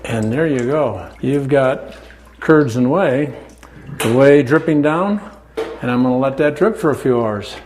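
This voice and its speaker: An older American man explaining his work informally and step by step, in a halting manner. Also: medium pitch, a little mellow. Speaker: farm owner and cheese maker. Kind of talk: informal explanation while demonstrating. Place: indoors in a small farm creamery. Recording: clear background noise, working creamery room.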